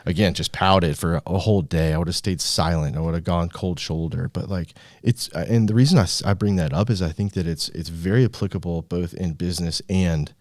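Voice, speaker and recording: A man's voice: deep voice, brisk, hardly any pauses, darkish tone, no echo, studio quality. A man hosting a podcast in a casual, motivational style, talking like an emphatic coach who swears freely. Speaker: podcast host and business coach.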